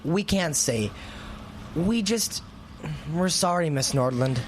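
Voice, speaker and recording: A woman's voice: low voice, animated, takes it slowly, slight background noise. A woman playing a worried, hesitant and earnest teenage schoolkid in a radio drama. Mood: pleading, defensive. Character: anxious, hesitant teenage schoolkid, sleuth's twin sibling.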